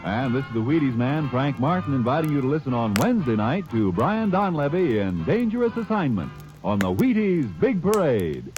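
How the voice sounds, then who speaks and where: Man, classic radio pitchman, very animated, muffled sound. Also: normal speed, faint music behind. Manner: hearty, salesy, rising pitch. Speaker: radio announcer. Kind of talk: 1950s radio commercial announcing. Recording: dull old radio transcription.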